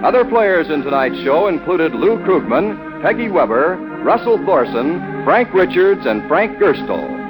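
A man's voice: a little high, sing-song, unhurried, few pauses, rough recording. A man announcing scripted credits in 1940s radio style, over organ music.

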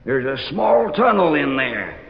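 An actor playing a nervous, shifty, folksy American taxi driver, with hesitant delivery in an old radio drama. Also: male voice, a little high, big pitch swings, unhurried, gravelly, exaggerated voice. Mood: nervous confession. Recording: noisy, echoing, dull 1940s-50s radio recording.

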